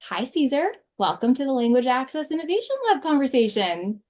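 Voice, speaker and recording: A woman's voice: high-pitched, very animated, brisk, darkish tone. A woman speaking as the host of a conversational interview.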